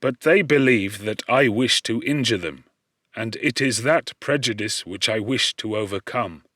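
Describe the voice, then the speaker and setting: Man acting a slow-spoken, lonely outcast creature. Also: medium pitch, a bit bright, dry recording, clean studio sound. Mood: earnest, troubled. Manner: formal, earnest, pleading. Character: lonely outcast male creature, humble, anxious, eloquent. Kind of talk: audiobook character voice.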